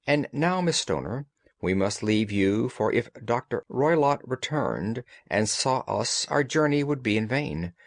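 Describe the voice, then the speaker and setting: A man voicing a commanding, analytical gentleman detective in an audiobook, speaking precisely and formally. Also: mid-range voice, animated, unhurried, dry recording. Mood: measured, reassuring. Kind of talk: audiobook character dialogue.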